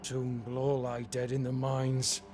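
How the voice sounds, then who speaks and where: A man plays a defiant military captain in a game drama. His voice sits at a middle pitch, formal and haughty, over clear background music. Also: completely flat delivery, a little slow, natural voice. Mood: indignant. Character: proud, defiant male captain facing mutiny. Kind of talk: dramatic video game acting.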